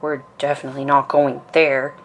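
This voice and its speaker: A woman's voice: very deep voice, little pitch movement, normal speed, a little hiss or hum, pitched below the performer's own voice. A woman voicing an eager, confident young boy bear cub from a storybook, keen and emphatic. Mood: determined.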